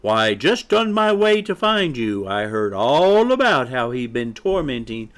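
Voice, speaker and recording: A man's voice: mid-range voice, sing-song, a little slow, a bit bright, voice pushed up. A man voicing a fat, haughty mother hen who scolds and squawks in pompous indignation for a storybook. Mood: aggravated scolding. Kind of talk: animated storybook character voice.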